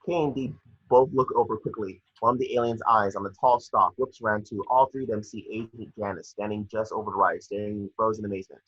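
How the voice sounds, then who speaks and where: A man reads stage directions for a film script in a lowish, slightly husky voice. He is matter-of-fact and trips on the odd word. The home recording is a bit dull. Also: steady tone, quick pace, fairly bright tone. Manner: matter-of-fact, with stumbles over words. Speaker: narrator reading action descriptions. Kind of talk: scripted narration at a table read.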